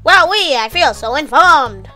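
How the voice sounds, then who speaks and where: A woman's voice: high-pitched, lively intonation, unhurried, bright, forceful tone, soft background music, exaggerated voice. A woman voicing an exuberant, innocent, grandly proud skeleton monster with theatrical, earnest delivery in a comic dub. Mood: indignant, earnest. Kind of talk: animated comic dub acting.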